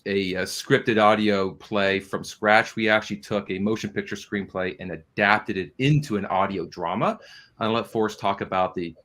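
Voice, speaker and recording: A man's voice: lowish voice, fairly bright tone. A man speaking as an interview guest, explaining his company's work in a conversational, businesslike way.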